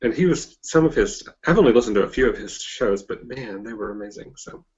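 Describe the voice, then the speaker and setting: A man hosting a casual interview, putting his questions in a tentative, halting way. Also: medium pitch, animated, darkish tone, dull audio. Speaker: interviewer, host.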